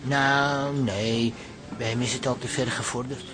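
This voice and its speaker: A man's voice: mid-range voice, steady tone, a little slow, natural voice. An actor playing an eager, slyly persuasive hairdresser with a Netherlands Dutch accent and a teasing salesman's manner in a radio play. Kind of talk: radio comedy-drama acting. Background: music.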